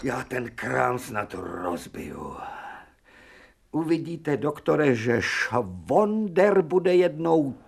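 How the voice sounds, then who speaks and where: An actor playing an exasperated, haughty older professor of surgery in a radio play. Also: male voice, medium pitch, big pitch swings, creaky, breathy edge, heavily acted. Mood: agitated. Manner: haughty, exclamatory.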